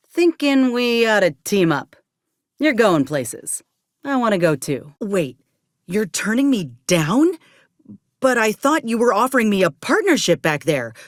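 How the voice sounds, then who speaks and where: A woman's voice: medium pitch, dry recording, studio quality. An actress playing an earnest, determined young woman soldier with folksy rural turns of phrase in a video game. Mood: hopeful pitch. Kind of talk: video game character dialogue.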